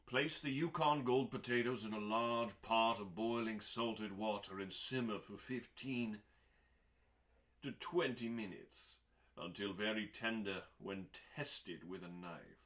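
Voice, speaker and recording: A man reading recipes aloud as a host, in a dramatic audiobook style with deliberate pauses and emphasis. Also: lowish voice, fairly bright tone.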